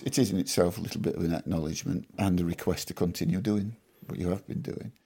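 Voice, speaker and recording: Older man, low voice, husky, from Yorkshire, modest dry humour, podcast mic. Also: steady tone, fast, dark tone. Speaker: guest farmer. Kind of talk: reflective podcast interview. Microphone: podcast microphone.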